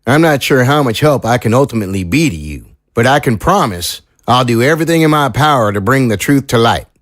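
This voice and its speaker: An actor playing an earnest, troubled small-town sheriff in an audio drama, speaking in a folksy, sincere, measured way. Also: male voice, mid-range voice, lively intonation, fairly bright tone, dry recording, clean studio sound, natural voice. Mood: earnest, resolute.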